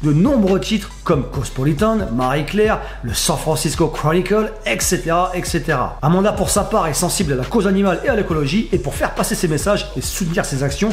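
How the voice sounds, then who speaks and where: A man's voice: sits high, expressive, brisk, hardly any pauses. A young man hosting a video countdown, reading a scripted list with casual, joking asides to the viewer. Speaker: YouTube host. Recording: echoey room with background music. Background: music bed.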